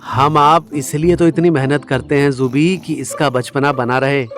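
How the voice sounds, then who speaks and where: A man's voice: mid-range voice, fairly even intonation, unhurried, soft background music, natural voice. A man playing an affectionate, soothing husband in an audio drama.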